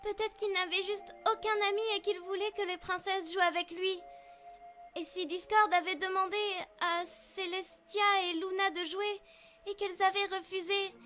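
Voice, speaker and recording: A woman's voice: very high-pitched, pushed and bright, exaggerated voice. A woman voicing a young schoolgirl pony in a cartoon fandub, an earnest and curious daughter. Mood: earnest, wondering. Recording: studio dub with faint music.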